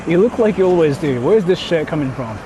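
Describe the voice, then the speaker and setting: A man with a fairly high, very lively voice chats casually to his livestream viewers. He rambles, questions himself and drops loose profanity. Clear city street and vehicle noise surrounds him as he talks. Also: brisk. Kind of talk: casual livestream chat, reading viewer comments. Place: outdoors on an urban street, walking. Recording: clearly noisy outdoor city street stream.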